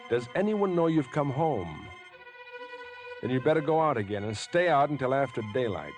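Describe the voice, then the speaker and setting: An American actor playing a decent, agitated young man cornered by murder, in tense, halting, earnest 1950s radio drama style. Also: medium pitch, lively intonation, lightly acted. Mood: grimly resolved. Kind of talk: 1950s radio suspense acting. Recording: vintage 1950s radio recording with music.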